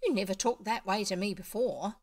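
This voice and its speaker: A woman's voice: medium pitch, a little fast, a little mellow, natural voice. A woman voicing a kindly, curious male doctor in a storybook, with an earnest, exclamatory way of talking. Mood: astonished.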